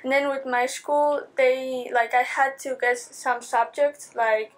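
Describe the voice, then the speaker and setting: A teenage woman from Spain speaks with a Spanish accent in a slightly high but flat, even voice. She talks casually and chattily, full of restarts and fillers, seated at a desk in a school studio set. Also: takes it slowly. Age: teens. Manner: chatty, many likes and restarts. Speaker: exchange student guest. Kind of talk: casual interview answers.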